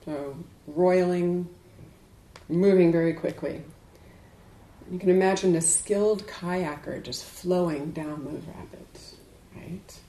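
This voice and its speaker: A woman giving a dharma talk to a group as a Buddhist teacher, speaking in a measured, deliberate way with emphatic pauses. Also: sits low, dark tone. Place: indoors in a room. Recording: echoey room.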